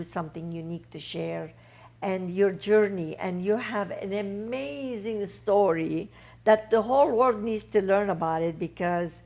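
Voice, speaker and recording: Gravelly-voiced female talk show host. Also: lowish voice, darkish tone. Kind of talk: interview host.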